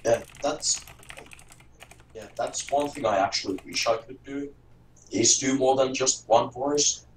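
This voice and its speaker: A man who runs his own games, talking in a low-key, self-deprecating way. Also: unhurried, roomy sound, far from the mic, slight background noise, low-quality recording. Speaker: game master.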